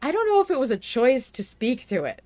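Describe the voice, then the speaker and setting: A woman's voice: high-pitched, sing-song, fairly quick, a little mellow. A woman hosting a podcast in casual debate, speaking in an emphatic, opinionated way with some swearing. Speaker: podcast host.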